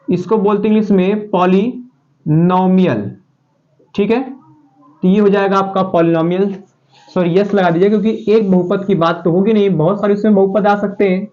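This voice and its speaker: A young man teaching math, his voice sitting noticeably high and slightly hoarse from a sore throat. He talks in a loose, chatty way, repeating points and calling his students friends. The recording is dull and lo-fi. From Uttar Pradesh. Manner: chatty, repetitive, addresses students warmly as "pyare saathiyon". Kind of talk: casual spoken math lesson. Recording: dull lo-fi sound.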